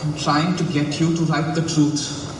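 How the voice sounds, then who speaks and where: Stage play: actor as rigid, judging male writing teacher, high register, heard across a reverberant hall. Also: little pitch movement, measured pace, natural voice. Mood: firm, curt. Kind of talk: student stage drama acting. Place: theatre stage, recorded from afar. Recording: echoey hall, far mic, noisy background.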